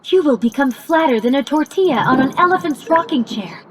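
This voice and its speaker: High-pitched, precise AI companion. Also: female voice, animated, a little fast, noisy background. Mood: alert, matter-of-fact. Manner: pedantic, exact, formal wording. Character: precise, matter-of-fact female artificial intelligence companion. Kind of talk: scripted video game cutscene acting.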